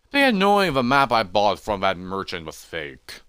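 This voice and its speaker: A man voicing a calm humanoid elephant adventurer who mutters casually and thoughtfully to himself in a story. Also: on the low side, moderate pace, clear, resonant tone, no echo. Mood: musing, mild.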